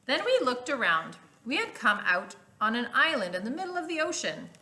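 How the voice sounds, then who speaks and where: A woman reading a children's storybook aloud as a teacher, with clear emphasis, explanatory asides and questions to listeners. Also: fairly high pitch, big pitch swings, fairly quick, sharp tone, slight background noise.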